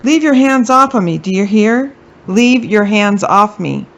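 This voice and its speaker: A woman's voice: medium pitch, expressive, unhurried, highs cut off, very noisy. A woman acting a sassy, wary young female hitchhiker in an old radio suspense style, blunt and wisecracking. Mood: angry.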